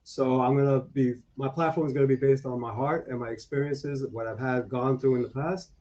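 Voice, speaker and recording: A man's voice: mid-range voice, steady tone, a little fast, mellow. New York man, dull lo-fi audio. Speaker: show guest, aspiring streamer. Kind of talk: casual chat.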